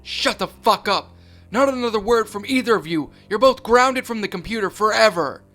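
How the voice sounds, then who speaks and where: Brief: man doing a raging cartoon mom, shrill and piercing, pitched well up, hard-edged. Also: lively intonation, moderate pace, bright, forceful tone, faint music behind. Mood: furious. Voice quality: shrill, shrieking. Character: enraged unhinged mother, screeching and violent.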